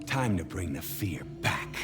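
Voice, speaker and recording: An actor playing a cold, mocking mob boss in a video game, with a gravelly voice and slow, taunting delivery. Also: male voice, low-pitched, very animated, music in the background. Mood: menacing, gloating. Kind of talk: cinematic video game villain acting.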